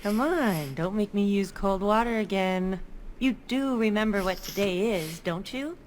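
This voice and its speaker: A woman's voice: mid-range voice, unhurried, a little mellow, a little hiss or hum, lightly acted. An actress playing a cheery, teasing young female elf cousin in a video game.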